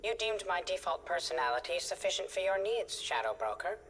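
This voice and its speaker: A woman's voice: lowish voice, expressive, fairly quick, creaky, a bit bright, a little hiss or hum. A woman voicing a polite, literal drone artificial intelligence in a video game, formal and officious, with synthetic processing. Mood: formal, dutiful.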